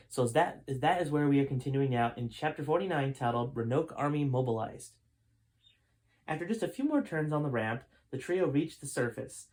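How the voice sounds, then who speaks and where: A man's voice: mid-range voice, a little mellow. A man reading a story aloud as its host, in an informal storytime narration style. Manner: informal, stops to correct own character voices. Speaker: author reading own book aloud, host. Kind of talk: storytime audiobook narration with character voices.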